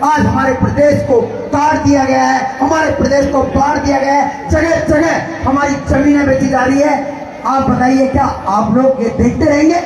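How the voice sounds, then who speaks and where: A man from giving an impassioned political speech to a crowd, shouting and firing off rhetorical questions. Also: very high voice, fairly even intonation, moderate pace. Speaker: rally speaker. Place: outdoor rally ground. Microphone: public address mic heard from a distance. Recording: echoey outdoor PA from far off, crowd noise. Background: crowd murmur.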